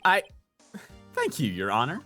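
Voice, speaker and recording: A man voicing an earnest, flustered young defense lawyer in a video game, expressive and sometimes exasperated. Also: mid-range voice, measured pace, soft background music, exaggerated voice.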